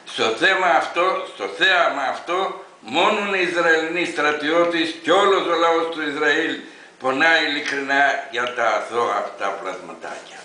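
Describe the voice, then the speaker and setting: A man in his eighties from Greece reading an open letter aloud in an emphatic, declamatory way, with deliberate phrasing. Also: fairly high pitch, bright, forceful tone, echoey room, slight background noise, low-quality recording. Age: 80s.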